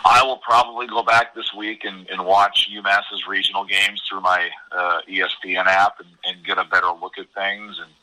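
American guy doing sports analysis, kinda low voice but really sharp and punchy, earnest, repeats himself. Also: little pitch movement, moderate pace, few pauses, poor audio. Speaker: college hockey commentator as podcast guest.

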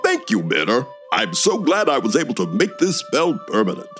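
A man plays a vain, gracious storybook king in a comedy radio play. His pitch swings dramatically, and light music sits behind him. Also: wildly swooping pitch, moderate pace, a bit bright. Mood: pleased. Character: contented, vain, kindly barista turned fairy-tale king.

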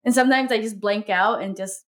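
A woman's voice: medium pitch, brisk. A woman interviewing a guest in casual chat, friendly, laughing often and trailing off mid-sentence.

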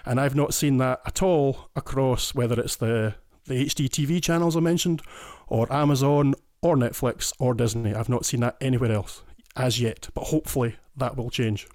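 A man's voice: mid-range voice, fairly even intonation, normal speed, a little mellow, dry recording, studio quality. A Scottish man talking eagerly and at length as a guest in a casual podcast chat, often restarting his sentences. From Scotland. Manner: enthusiastic, explains in detail with frequent restarts. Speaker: guest listener.

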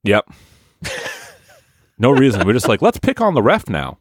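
A man in his thirties co-hosting a podcast, swearing freely and telling stories in a booming voice. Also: wildly swooping pitch, normal speed, breathy edge, dry recording, studio quality. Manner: profane, loud storytelling, booming voice. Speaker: podcast co-host. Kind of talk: casual chat.

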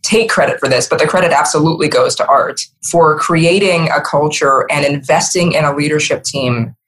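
A woman's voice: low-pitched, poor audio. A woman, middle-aged, speaking earnestly and emphatically as a guest in a conversational podcast interview. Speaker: interview guest, marketing executive.

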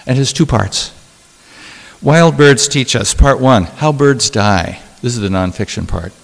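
A man reading his own poetry and talking about it, in a deliberate, measured way with dramatic pauses. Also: mid-range voice, creaky. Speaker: poet reading own work. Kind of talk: poetry reading with spoken asides. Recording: long hall reverb.

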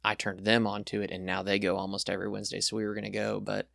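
A man's voice: lowish voice, completely flat delivery, fast, creaky, fairly bright tone, no room sound, studio quality. A young man chatting as a podcast guest, rambling and enthusiastic with lots of fillers. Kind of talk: casual chat.

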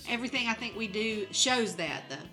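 A woman's voice: fairly high pitch, lively intonation, moderate pace, music in the background, low-quality recording. A woman in her sixties, a guest on a podcast, chatting candidly and laughing often. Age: 60s. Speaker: podcast guest, entertainment company head. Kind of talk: casual interview chat.

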